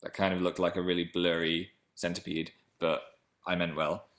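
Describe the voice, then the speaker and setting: Deep-voiced man chatting on a livestream. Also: flat delivery, normal speed, fairly bright tone. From England. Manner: playful, jumps between high and low pitch. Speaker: livestream host. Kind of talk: casual live chat reading comments.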